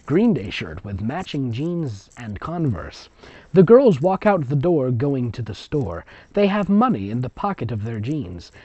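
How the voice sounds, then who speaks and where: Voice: medium pitch, sing-song, slow pace, warm tone, breathy edge, dry recording. Snarky fanfic reader, voice swings all over, exasperated asides, dry muffled recording. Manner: sarcastic, exasperated. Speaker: fan fiction reader and commentator. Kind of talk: mocking story reading with ad-libbed commentary. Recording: dull, lo-fi close recording.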